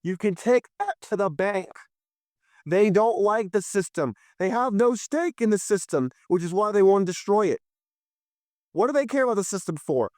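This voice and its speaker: Young male podcast host, hoarse and fired up, clear high voice, dry sound. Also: lively intonation, normal speed, darkish tone, no room sound. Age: young adult. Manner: impassioned, preachy, addresses listeners directly. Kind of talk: solo political commentary.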